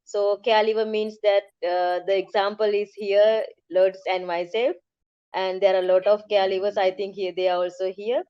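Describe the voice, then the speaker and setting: A woman's voice: medium pitch, little pitch movement, normal speed, clear, resonant tone, no echo, highs cut off. A woman in her thirties with a Sri Lankan accent, speaking conversationally as an advocate on a panel. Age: 30s.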